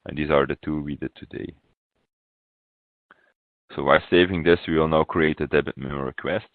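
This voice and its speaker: Phone-like audio, male software trainer, extremely low voice, halting step-by-step webinar walkthrough. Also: fairly even intonation, no room sound. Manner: halting, pausing mid-phrase. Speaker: software consultant presenting a webinar demo.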